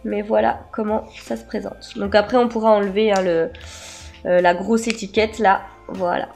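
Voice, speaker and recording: A woman chatting casually as she presents an unboxing, slightly hoarse and stuffed up a cold. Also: medium pitch, flat delivery, normal speed, darkish tone, faint music behind. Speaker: beauty vlogger, unboxing host. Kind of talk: casual product unboxing chat.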